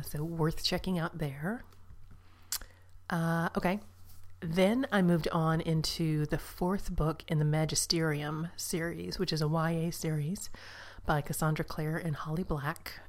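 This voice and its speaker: A woman chatting casually about books she has read, rambling with fillers and asides, sometimes dropping to a soft whisper. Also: sits low. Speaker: book vlogger. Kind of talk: casual book review chat.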